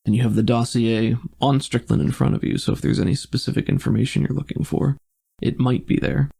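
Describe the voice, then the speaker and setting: A man's voice: mid-range voice, steady tone, fairly quick, gravelly, mellow, breathy edge. A man narrating an improvised tabletop roleplaying game as game master, describing scenes in cinematic detail.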